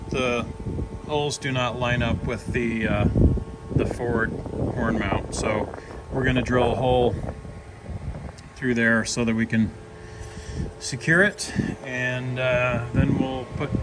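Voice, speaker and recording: Casual DIY guy over background noise. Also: mid-range voice. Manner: informal, explains step by step. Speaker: DIY video presenter. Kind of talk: casual hands-on install walkthrough.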